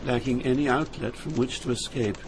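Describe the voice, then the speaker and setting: An actor playing a sorrowful poet recounting a journey through Hell in measured, dramatic scripted narration. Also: male voice, medium pitch, fairly even intonation, background noise, natural voice. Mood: solemn, haunted.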